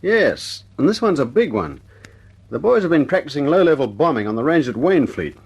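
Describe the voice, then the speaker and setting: Breezy Australian pilot, husky, lively swooping voice, slightly high. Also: male voice, normal speed, exaggerated voice. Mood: concerned. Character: brash Australian bomber pilot, young man. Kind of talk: 1940s radio acting.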